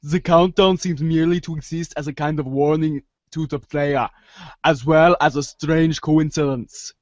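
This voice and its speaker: A man's voice: on the high side, fairly even intonation, normal speed, dry recording, lightly acted. A man playing a formal, aloof young know-it-all who over-explains, in amateur reader's theater. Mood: clipped, aloof. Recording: dry, dull lo-fi recording.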